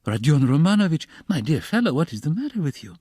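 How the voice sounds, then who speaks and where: A man's voice: mid-range voice, very animated, takes it slowly, darkish tone, no room sound, clean studio sound, pitched above the performer's own voice. A man voicing a wily middle-aged investigator in an audiobook, fussily solicitous and slyly mocking, with theatrical, coaxing exclamations. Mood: alarmed, fussing.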